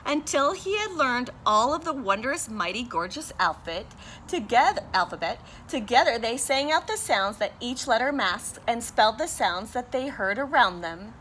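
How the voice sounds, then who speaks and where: Expressive woman reading a picture book aloud. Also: a little high, normal speed, a little hiss or hum, poor audio. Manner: expressive, sounds out and spells words letter by letter. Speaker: teacher.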